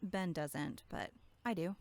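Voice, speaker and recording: A woman's voice: on the low side, slow, darkish tone, no room sound. A woman playing a brash, sarcastic young camp counselor in a horror audio drama, snarky and blunt. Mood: game, blunt. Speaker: voice actor.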